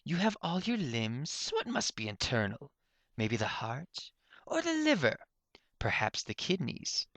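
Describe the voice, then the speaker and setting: A man's voice: mid-range voice, huge pitch swings, measured pace, a bit bright, no echo, pitched above the performer's own voice, full character voice. A man voicing an irritated, sardonic unicorn stallion professor in a pony story, curt and mocking in manner.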